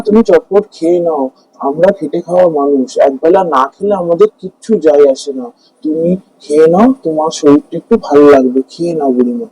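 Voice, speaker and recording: Man voicing humble cartoon farmer, high male voice, mellow, earnest, coaxing, hard-working and kind. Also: husky, dry recording, poor audio. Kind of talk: Bengali animated fairy-tale dialogue.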